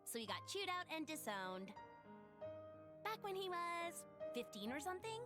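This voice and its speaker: A woman's voice: very high-pitched, big pitch swings, normal speed. A woman playing a cheeky, teasing companion to a prince in a video game cutscene. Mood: explaining. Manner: playful, teasing. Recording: music behind the voice.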